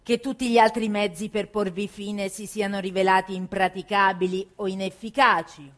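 A woman stage reader giving a formal, solemn reading of a document, deliberately, phrase by phrase. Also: mid-range voice, flat delivery.

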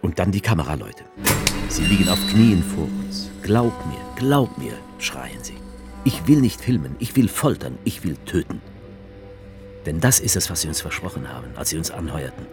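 A grim male writer recounts a staged war in a radio play. His voice sits extremely low, and the delivery is stark and incantatory. Music plays clearly beneath him. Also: very animated, moderate pace. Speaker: author character narrating. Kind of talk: radio drama narration. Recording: music under the voice.

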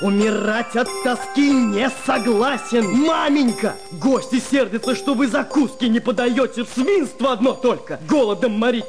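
Very high-pitched sulky son. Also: male voice, normal speed, hardly any pauses. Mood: petulant. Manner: whining, petulant. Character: sulky, demanding grown son craving a drink. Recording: music behind the voice.

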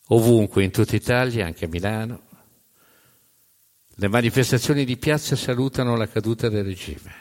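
A man tells a scripted historical story as a stage narrator. His voice sits fairly low, and he phrases deliberately and dramatically, setting words apart with pauses. A long hall reverb surrounds every phrase. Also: darkish tone.

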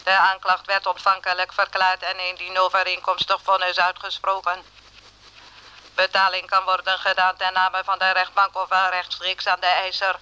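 Impersonal court machine, radio play, crisp, very monotone, rigidly formal. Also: male voice, high-pitched, moderate pace, poor audio. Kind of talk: radio play, formal legal notice read out.

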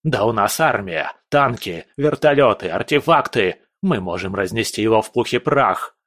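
A cowardly, hot-tempered prince bellows and rants in a loud, raised voice, as a male narrator puts him on. Under the shouting the voice stays fairly low. The recording is close and dry. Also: lively intonation, moderate pace, no echo. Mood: furious. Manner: shouting, ranting, furious.

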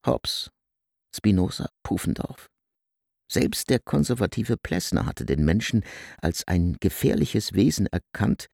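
A man narrating in a measured scripted way. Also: on the low side, vocal fry, darkish tone, breathy edge, dry recording, studio quality.

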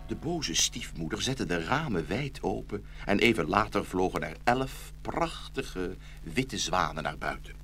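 Expressive, bright-voiced male storyteller. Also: low-pitched, a little slow, soft background music. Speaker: narrator. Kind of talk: scripted fairy-tale storytelling.

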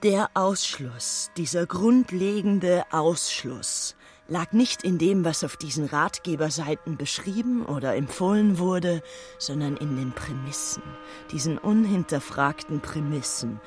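A woman playing a bitter, furious, anguished figure in an intense literary radio monologue, deliberate and insistently repetitive. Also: on the low side.

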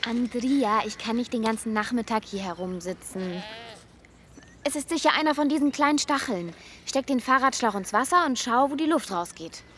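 A woman's voice: fairly high pitch, lively intonation, moderate pace, a bit bright, a little hiss or hum. An actress playing a young village woman in a radio drama, practical in manner but somewhat hesitant. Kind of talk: naturalistic German radio drama acting.

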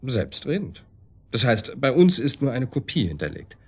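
A man's voice: mid-range voice, sing-song, normal speed, breathy edge. An actor in a German radio drama playing a guarded professional man with a formal, cool, evasive manner. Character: guarded, formal male professional, addressed as doctor.

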